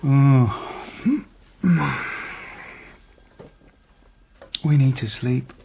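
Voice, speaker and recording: An actor playing a weary, methodical middle-aged police detective, slightly husky, measured and understated, in naturalistic radio drama style. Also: male voice, medium pitch, fairly even intonation, warm tone, a little hiss or hum. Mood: weary, subdued. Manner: measured, matter-of-fact, understated.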